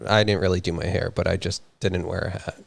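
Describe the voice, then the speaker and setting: Low gravelly man rambling casually. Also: steady tone, fast, dry recording. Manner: rambling, lots of "like" fillers, playful teasing. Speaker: streamer co-host. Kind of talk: casual live-stream chat.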